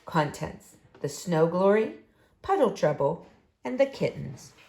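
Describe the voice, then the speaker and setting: Expressive woman reading slowly to children, echoey. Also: on the low side, creaky. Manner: expressive, addressing young listeners. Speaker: story reader for children. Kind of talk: picture book read-aloud. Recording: echoey room.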